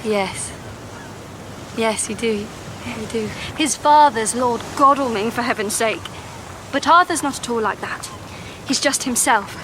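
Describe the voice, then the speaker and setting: A woman's voice: fairly high pitch, measured pace, noisy background, natural voice. An actress playing a thoughtful, worried young Victorian woman and loyal friend in an audio drama. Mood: wistful, worried.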